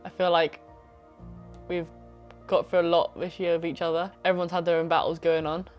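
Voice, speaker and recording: A young adult woman racer, chatty and quick to laugh, talking in a documentary interview. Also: on the low side, flat delivery, muffled recording, faint music behind. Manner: warm, chatty, laughs easily.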